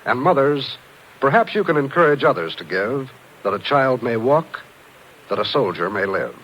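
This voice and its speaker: A man's voice: mid-range voice, very animated, normal speed, fairly bright tone. An American man announcing on 1950s radio, reading scripted copy in an earnest, formal delivery. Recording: dull, hissy vintage radio recording.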